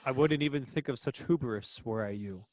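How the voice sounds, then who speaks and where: A man's voice: mid-range voice, normal speed, a little mellow, natural voice. A man playing a stern, dutiful warrior archangel in a play reading, speaking firmly and reprovingly. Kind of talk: virtual table read of a stage play.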